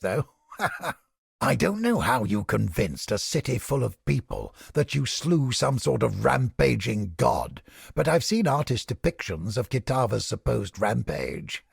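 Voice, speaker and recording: A fanatical, paranoid male inquisitor from a fantasy video game. His voice is thick with gravel and very husky, and it swings up and down as he lectures with smug, theatrical condescension. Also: medium pitch, dry recording, clean studio sound, heavily acted. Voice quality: gravelly, raspy. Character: zealous, paranoid ex-Templar interrogator, smug skeptic of gods. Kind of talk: video game character acting.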